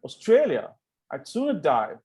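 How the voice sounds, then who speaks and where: A man reading a flippant, charming young gentleman in a Victorian comedy table read, playful with formal phrasing. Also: fairly high pitch, huge pitch swings, moderate pace. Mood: indignant.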